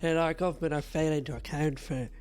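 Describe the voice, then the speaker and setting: A man's voice: on the high side, steady tone, fast talker, a little mellow. An Irish man from Dublin co-hosting a podcast, chatting casually with wry, joking asides. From Dublin, Ireland. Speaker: podcast co-host. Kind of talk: casual chat.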